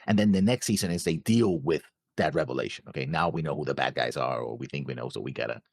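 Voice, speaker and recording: A man co-hosting a reaction show, chatting casually about a series in a halting way, repeating his phrases. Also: very deep voice, creaky, no room sound, studio quality. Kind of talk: casual discussion of an animated series.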